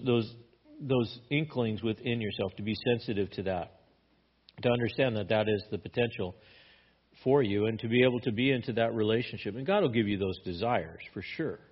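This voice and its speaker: A man's voice: medium pitch, muffled recording. A man pastor teaching a sermon verse by verse in a conversational manner, often restarting his words.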